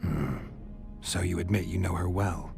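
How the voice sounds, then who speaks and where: An actor playing a laconic, world-weary monster hunter in a video game, speaking in a gravelly voice with a terse, guarded deadpan. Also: male voice, sits low, a little slow. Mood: deadpan, questioning. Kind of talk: video game cutscene voice acting. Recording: music behind the voice.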